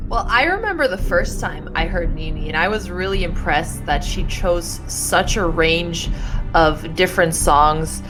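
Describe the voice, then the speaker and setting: Composed woman with a lowish voice. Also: animated, unhurried, over music, poor audio. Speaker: judge.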